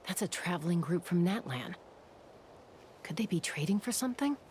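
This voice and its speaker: A woman playing a calm, level-headed young warrior in a video game, steady and deliberate. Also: lowish voice, slight background noise, natural voice. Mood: calm, watchful.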